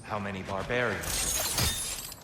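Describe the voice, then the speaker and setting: Echoing, low-voiced ancient god. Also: male voice, heavy background noise. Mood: probing. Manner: measured, formal, questioning. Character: ancient, forgotten Norse god, calm probing male mentor. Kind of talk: dramatic video game acting. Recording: echoing hall reverb under music.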